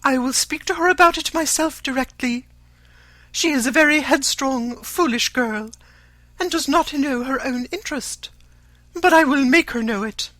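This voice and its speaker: A narrator voicing a fussy, nervous middle-aged mother in an audiobook, shrill, exclaiming and insistent. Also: normal speed, bright, forceful tone, dry recording. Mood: insistent, indignant. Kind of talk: audiobook character voice.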